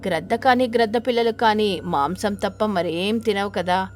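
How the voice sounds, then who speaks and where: A woman's voice: mid-range voice, moderate pace, soft background music. A woman storyteller reading a scripted children's story narration.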